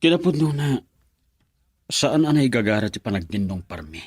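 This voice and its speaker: A man's voice: mid-range voice, big pitch swings, moderate pace, husky, no room sound, clean studio sound. An actor playing a young university student in love, talking earnestly with his friend in a radio drama.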